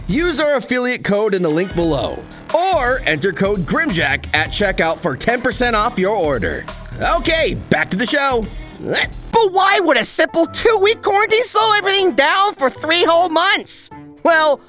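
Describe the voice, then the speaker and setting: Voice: mid-range voice, fairly bright tone. A video essay host narrating a script in a sarcastic, exaggerated way and swearing freely. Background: background music.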